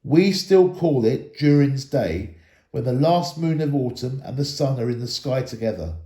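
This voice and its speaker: A man's voice: medium pitch, lively intonation, normal speed, dark tone, natural voice. Man playing a proud, stern dwarf, roomy sound. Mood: lofty, troubled. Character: proud, stern male dwarf heir of old lineage.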